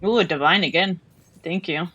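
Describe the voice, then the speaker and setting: A woman's voice: lowish voice, normal speed, bright, forceful tone, slightly rough. A woman from Mexico with a Mexican accent, streaming a game and chatting casually with her chat, often restarting her sentences. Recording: dull lo-fi stream audio.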